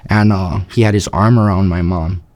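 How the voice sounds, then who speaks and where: A man of thirty from Hawaii, calmly telling his life story in an interview. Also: deep voice, normal speed. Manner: steady, matter-of-fact storytelling. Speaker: interview subject.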